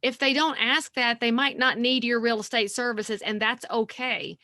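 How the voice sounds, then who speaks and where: Bright, animated sounding woman. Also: on the high side, moderate pace, no echo, studio quality. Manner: energetic, folksy, animated storytelling. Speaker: guest realtor. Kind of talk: podcast interview.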